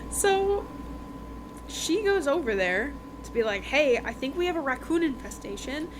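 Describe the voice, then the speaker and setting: High-voiced woman chatting over background noise. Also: big pitch swings, measured pace. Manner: chatty, conversational, acts out dialogue in passing. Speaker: podcast host reviewing books. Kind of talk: casual chat retelling a novel's plot. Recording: clearly noisy background.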